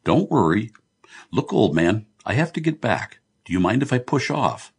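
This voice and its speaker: Casting: male narrator as a chummy, confident architect and amused old pal in an audiobook; swooping intonation, slightly rough tone. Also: fairly low pitch, big pitch swings, moderate pace, pitched above the performer's own voice, exaggerated voice. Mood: brisk, confident. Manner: agreeable, chummy, mildly amused.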